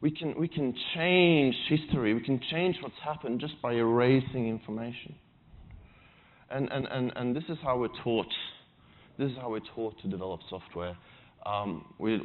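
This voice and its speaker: A man's voice: mid-range voice, narrow, tinny audio. A man from Sweden with a Swedish accent, giving a conversational, self-deprecating tech conference talk on stage. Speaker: conference speaker.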